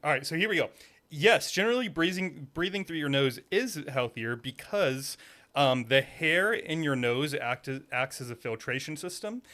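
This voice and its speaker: A man's voice: fairly high pitch, expressive, normal speed, sharp tone. A man hosting a podcast, chatting casually and curiously, at times reading facts aloud from a search. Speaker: podcast host. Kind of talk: casual chat. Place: room, with a dog nearby.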